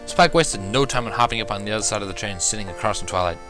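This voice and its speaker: A man's voice: fairly low pitch, racing, over music. A man narrating a first-person fan fiction story, reading expressively as an amateur.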